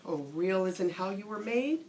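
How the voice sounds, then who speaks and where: A woman voicing a wise, kindly, truthful old toy horse from a bedtime storybook, explaining things gently and patiently. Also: mid-range voice, lively intonation, unhurried, a little hiss or hum, lightly acted. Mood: gentle, wise. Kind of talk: bedtime storybook character reading.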